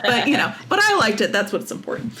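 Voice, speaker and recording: A woman's voice: a little high, big pitch swings, fast, bright, forceful tone, slight background noise. A woman chatting casually as an interview guest, in a giggly, self-deprecating way. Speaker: guest playwright and audio dramatist.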